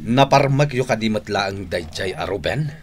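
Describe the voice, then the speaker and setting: An actor playing an impatient, commanding gang boss in an Ilocano radio drama. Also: male voice, on the low side, expressive, normal speed, a little hiss or hum, close to an everyday voice. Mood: urgent, commanding.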